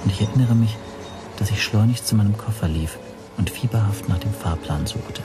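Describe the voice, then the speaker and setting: A man playing an anxious language teacher who narrates his ordeal in a radio drama, measured and introspective. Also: lowish voice, loud music behind the voice, natural voice. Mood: reflective.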